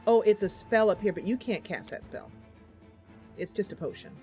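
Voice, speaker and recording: A chatty woman talks through her game as she plays, pausing to think with little ums and calling out to her viewers. Her voice sits at a middle pitch for a woman. Soft game music runs faintly underneath. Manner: playful, addresses viewers directly. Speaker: gaming channel host. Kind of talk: casual let's-play commentary.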